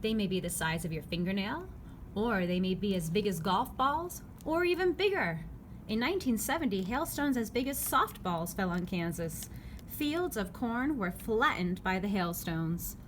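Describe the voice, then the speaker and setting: A woman reading a children's picture book aloud, phrasing it clearly and simply for young listeners. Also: mid-range voice, expressive, moderate pace. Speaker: read-aloud narrator. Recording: clearly noisy background ambience.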